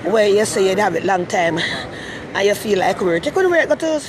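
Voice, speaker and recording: Jamaican woman host, chatty live-stream rambler on a handheld phone, loud street traffic behind. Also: mid-range voice, sing-song, a little fast. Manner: rambling, chatty, greets viewers by name. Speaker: talk show host. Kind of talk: casual live-stream chat. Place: busy city station area, in and out of doors.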